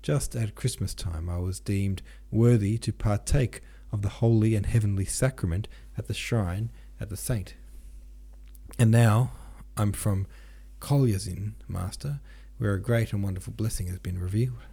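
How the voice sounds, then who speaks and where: A man's voice: fairly low pitch, moderate pace, vocal fry, mellow, slightly rough. A man reading the part of a garrulous, devout old peasant pilgrim woman in an audiobook, earnest and easily shocked. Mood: eager, garrulous. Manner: rambling, earnest, pious, admonishing.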